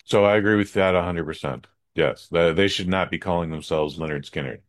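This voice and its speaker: A man co-hosting a podcast in casual chat, relaxed and rambling, often restarting his sentences. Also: low-pitched, fairly quick. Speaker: podcast co-host.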